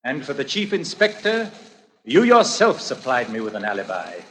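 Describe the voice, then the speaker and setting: A noisy old radio recording of a volatile stage actor in a 1940s suspense play. His voice is bright and clipped, swinging dramatically in pitch with theatrical intensity. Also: wildly swooping pitch, moderate pace. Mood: menacing. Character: weary, volatile stage actor who plays a menacing strangler.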